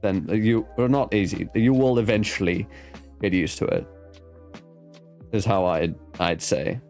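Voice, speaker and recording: A man's voice: on the low side, measured pace. A man from South Africa with a South African accent, whose first language is Afrikaans, hosting a casual interview and chatting in a rambling way with many restarts. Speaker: host. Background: soft background music.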